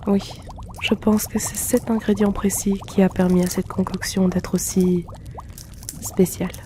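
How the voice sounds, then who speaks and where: A man playing a gentle, teasing potion-shop keeper and herbalist in a soft, polite, unhurried ASMR roleplay. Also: high-pitched, level tone, noisy background. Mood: calm, explanatory. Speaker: roleplay audio performer.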